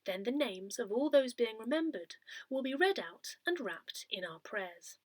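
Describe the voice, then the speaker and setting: A woman reading a newsletter aloud in a measured, careful way with expressive emphasis. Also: fairly high pitch, dry recording. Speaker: volunteer reader of an audio parish newsletter. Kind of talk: read-aloud newsletter narration.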